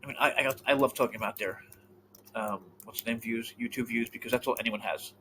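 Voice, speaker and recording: A man co-hosting a casual podcast chat, animated and swearing freely, often trailing off mid-thought. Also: medium pitch, quick pace, slight background noise. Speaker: podcast co-host.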